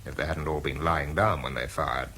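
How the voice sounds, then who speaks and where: A man's voice: very deep voice, steady tone, moderate pace, sharp tone, slight background noise, exaggerated voice. An actor playing a weary, sardonic research scientist who tells his own story in a radio drama, dry and understated. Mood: dry, wry. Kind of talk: first-person radio drama narration and dialogue.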